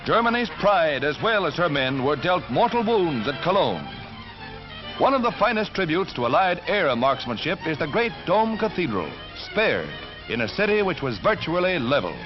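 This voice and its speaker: A man's voice: on the high side, sing-song, moderate pace. An American man reading scripted 1940s wartime newsreel narration in a dramatic, emphatic announcer's delivery. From United States. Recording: dull 1940s newsreel soundtrack. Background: orchestral music.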